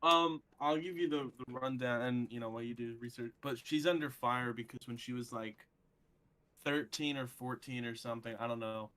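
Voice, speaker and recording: A man's voice: mid-range voice, fairly even intonation, normal speed, sharp tone, poor audio. A young man of twenty in casual live-stream chat, rambling earnestly with many fillers. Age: 20s.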